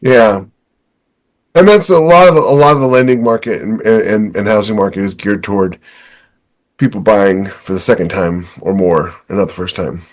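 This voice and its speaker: A man with a fairly low voice in casual podcast conversation. He speaks as a co-host, sharing his own experience in an informal way. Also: moderate pace.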